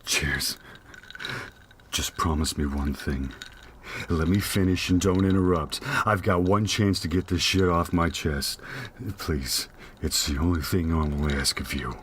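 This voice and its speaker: An actor playing a guilt-ridden, panicky, hard-drinking police detective who speaks haltingly in an audio drama. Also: male voice, extremely low pitch, a bit bright, a little hiss or hum. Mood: weary, confiding. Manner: halting, stammering.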